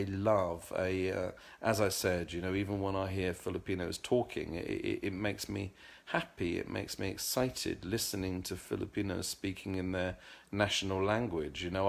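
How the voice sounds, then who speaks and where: A man's voice: low-pitched, creaky. A middle-aged man talking earnestly in a casual vlog monologue, often repeating words as he searches for the next one. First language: English. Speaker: vlogger.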